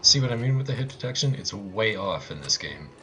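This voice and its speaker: A man commentates his video game run live in a casual, sarcastic way. His voice sits fairly low but has a bright, forward edge, over faint noise and keyboard clicks. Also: sing-song, fairly quick. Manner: sarcastic, wry. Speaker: speedrunner commentating a livestream.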